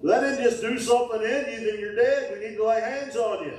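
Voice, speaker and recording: A middle-aged man, preaching a sermon in a folksy call-and-response style, with sudden loud bursts. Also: very high voice, fairly quick. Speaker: pastor. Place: small wooden-walled church sanctuary. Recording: echoey church from a distance.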